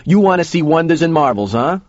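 A man's voice: a little high, big pitch swings, fairly quick, pitched above the performer's own voice. A man voicing a cautioning diner regular who questions a youngster pointedly in an audio story. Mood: challenging, grand. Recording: muffled, roomy recording.

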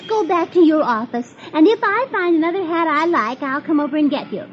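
A woman's voice: high voice, animated, fairly quick, voice pushed down. A woman playing an insistent young comic-strip wife in an old-time radio funny-pages reading. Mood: fussy, exasperated. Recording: muffled, noisy old radio recording.

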